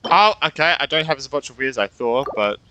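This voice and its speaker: A man's voice: medium pitch, expressive, brisk, pushed and bright, a little hiss or hum, poor audio. Casual sweary man streaming a game. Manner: muttering reactions, sweary, exasperated asides. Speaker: gaming streamer. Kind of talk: casual let's play commentary. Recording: game music behind the voice.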